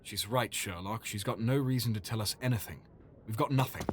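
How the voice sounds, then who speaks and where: An actor in an audio drama playing a detective's sceptical doctor partner, weary and doubtful. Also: male voice, lowish voice, fairly quick, noisy background, lightly acted. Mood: doubtful, resigned.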